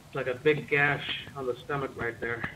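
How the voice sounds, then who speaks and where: A man's voice: medium pitch, fairly even intonation, normal speed. A man talking casually in character as a medic in a roleplay game, over noisy voice chat. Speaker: roleplay gamer playing a medic. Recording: clear background noise on game voice chat.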